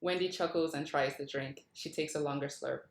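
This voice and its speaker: Low-voiced woman reading stage directions, roomy sound. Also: steady tone, fairly quick. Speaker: table read host and stage directions narrator. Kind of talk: script read aloud at a casual table read.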